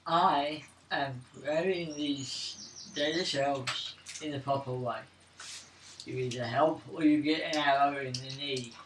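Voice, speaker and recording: A man playing a solemn, stubborn elf archer in a tabletop game, speaking slowly and insistently. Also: a little high. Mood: grim, threatening. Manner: slow, insistent, deliberate. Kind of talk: in-character tabletop roleplay. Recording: echoey room, distant mic, noisy, clicks.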